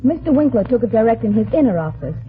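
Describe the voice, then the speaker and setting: Hesitant secretary with lilting voice. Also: female voice, fairly high pitch, big pitch swings, quick pace, soft background music. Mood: hesitant, polite. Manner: deferential, hesitant. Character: polite, hesitant young female secretary, timidly protective. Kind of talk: old-time radio drama acting. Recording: tinny narrow-band vintage radio recording.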